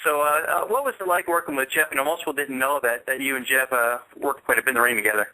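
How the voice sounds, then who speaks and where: A man's voice: medium pitch, quick pace, sharp tone, low-quality recording. A man hosting a conversational sports radio show, interviewing his guests.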